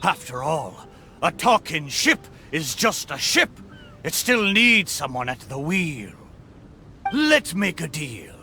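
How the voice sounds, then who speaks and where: Game casting: living pirate ship, bright high voice, swooping delivery, hearty and boastful, theatrical buccaneer speech. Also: male voice, sing-song, takes it slowly, slight background noise, exaggerated voice. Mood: hearty, persuasive. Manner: theatrical pirate talk, exclamatory. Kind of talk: video game character acting.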